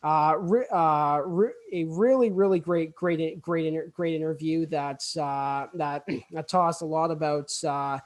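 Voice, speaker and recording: A man's voice: on the high side, fairly even intonation, unhurried, hardly any pauses. A young man hosting a student podcast casually, stammering and repeating words as he goes. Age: young adult.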